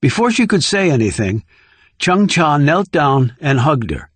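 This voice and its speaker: A man's voice: on the high side, big pitch swings, unhurried, breathy edge, no room sound. A man reading scripted thriller narration as an audiobook narrator.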